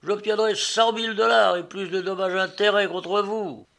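Guy doing a big loud blustery american traveler in an audiobook, indignant, yelling high and sharp. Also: steady tone, moderate pace, vocal fry. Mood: outraged. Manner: shouting, voluble, indignant. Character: blustering loud fat American steamboat passenger, excitable and indignant.

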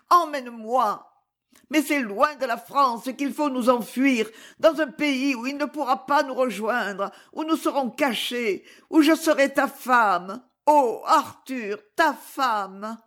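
A woman's voice: high-pitched, a bit bright, pitched above the performer's own voice. A woman reading an audiobook, voicing a young noblewoman in passionate despair with an impassioned, pleading, trembling delivery.

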